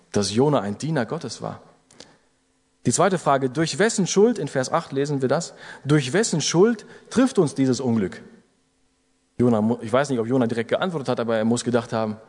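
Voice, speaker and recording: A man's voice: mid-range voice, darkish tone, breathy edge, big-room sound. A man preaching an earnest sermon to a congregation, building to urgent exhortations. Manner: earnest exhortation with rising emphasis.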